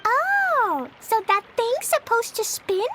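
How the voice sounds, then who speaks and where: A woman's voice: extremely high pitch, big pitch swings, slow, very noisy, full character voice. A woman voicing a tiny, childlike, cheerful fairy companion in a video game, with a perky manner. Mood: perky, curious. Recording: loud music behind the voice.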